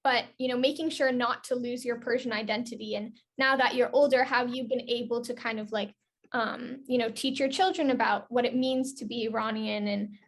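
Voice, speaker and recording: Young woman, youth interviewer, higher pitch, casual phrasing, frequent filler words like "like". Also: creaky. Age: teenager to young adult. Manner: frequent "like" and "you know".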